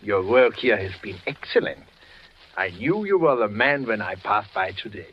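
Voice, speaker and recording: Guy doing creepy-genial nazi factory boss, stiff and halting, old radio play, dull hissy sound. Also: fairly low pitch, lively intonation, close to an everyday voice. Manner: halting, formal, chuckling authority. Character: officious, menacingly genial male Nazi plant boss. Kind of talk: 1940s radio suspense acting. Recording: muffled, noisy old radio broadcast.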